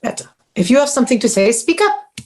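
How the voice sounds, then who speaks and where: Woman playing a sharp-tongued older mother. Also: sits high, very animated, fast talker. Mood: scolding. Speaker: actor in a script table read. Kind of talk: table read of a screenplay.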